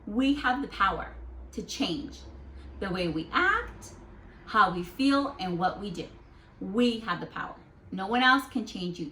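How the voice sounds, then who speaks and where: A woman teacher giving an interactive read-aloud lesson for young children, expressive, often prompting with questions and repeating key phrases. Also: medium pitch, a little hiss or hum. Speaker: elementary school teacher. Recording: echoey room.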